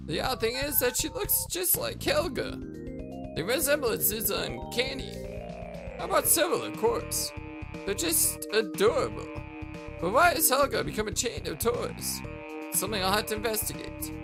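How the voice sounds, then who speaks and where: A man's voice: high-pitched, vocal fry, pitched above the performer's own voice, full character voice. A man voicing a prim, chatty friend character from a visual novel, speaking with precise, earnest manner.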